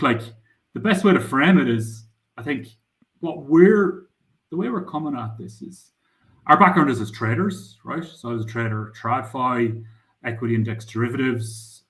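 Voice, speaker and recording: A man's voice: mid-range voice, normal speed. A middle-aged man with an Irish accent, a startup founder talking casually in an interview, rambling with lots of "I suppose". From Ireland. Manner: rambling, frequent "I suppose" fillers.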